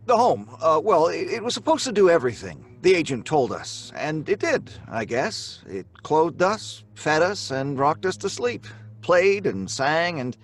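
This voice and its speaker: A man's voice: on the high side, very animated, heavily acted. A man playing a troubled father. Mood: uneasy. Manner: halting, uneasy, with nervous laughs. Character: troubled middle-aged husband and father. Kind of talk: live stage radio play.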